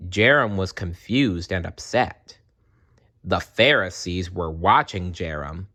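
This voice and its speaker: Low, slow, expressive children's storyteller. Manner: dramatic pauses, playful asides to listeners. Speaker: storyteller reading aloud. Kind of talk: expressive children's read-aloud narration.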